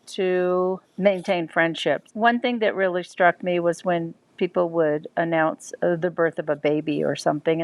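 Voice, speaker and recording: Middle-aged woman, reflective, hesitant, gravelly, low voice, dry. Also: no room sound. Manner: thoughtful, soft-spoken, hesitant with ums. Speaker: listener commenting. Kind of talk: reflective personal commentary.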